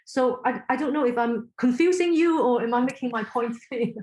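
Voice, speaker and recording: Woman from Hong Kong, Chinese native speaker, podcast guest; fairly high voice, measured and searching, often restarts phrases. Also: darkish tone. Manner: thoughtful, halting, many restarts. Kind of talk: interview answers.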